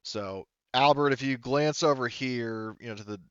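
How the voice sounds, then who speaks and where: A man working as game master, narrating a tabletop roleplaying game off the cuff in a halting, hesitant way. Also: medium pitch, smooth, no room sound, highs cut off. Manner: halting, hesitant, frequently trailing off.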